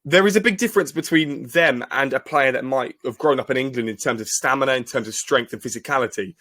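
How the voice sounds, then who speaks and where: A man's voice: mid-range voice, quick pace, a bit bright. A man hosting a podcast, introducing his guest and asking questions in an upbeat way. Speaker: podcast host. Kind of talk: interview questions.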